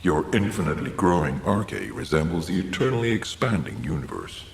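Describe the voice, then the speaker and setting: A very low, creaky-voiced male scholar speaks reflectively and earnestly. His gently formal game dialogue rings in a roomy echo. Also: heavily acted. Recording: roomy echo, faint background noise.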